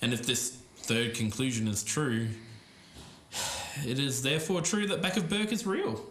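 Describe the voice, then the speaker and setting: A man's voice: medium pitch, measured pace, noisy background, lightly acted. An Australian man playing a careful, earnest podcaster in a scripted audio drama. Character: earnest male podcaster investigating a mysterious lost show. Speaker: podcast co-host character.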